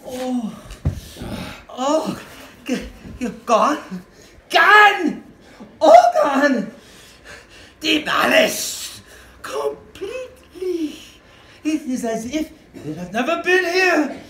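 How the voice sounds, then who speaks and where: A woman's voice: high-pitched, big pitch swings, very slow, pauses often, fairly bright tone, full character voice. A woman on stage playing an obsessive, grandiose, doomed professor with anguished, overwrought delivery. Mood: stunned, manic. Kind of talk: theatrical stage melodrama. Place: live on a theater stage. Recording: echoey theater stage, faint noise.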